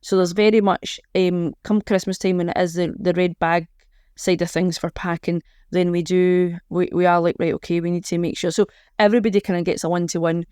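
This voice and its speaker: A woman's voice: on the low side, fairly even intonation, normal speed, mellow, clean tone, no echo, studio quality. A woman with a Scottish accent chatting informally as a charity organiser being interviewed. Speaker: charity organiser, podcast guest.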